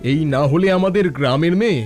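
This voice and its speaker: A man voicing a kindly, encouraging village schoolteacher in a cartoon, talking with fatherly warmth. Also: on the high side, quick pace, soft background music, close to an everyday voice.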